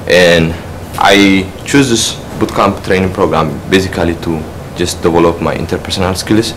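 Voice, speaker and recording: A man from Eritrea giving a halting practice speech about himself, restarting often as he searches for words. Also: deep voice. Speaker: speech trainee. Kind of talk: practice self-introduction speech. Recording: noisy room ambience.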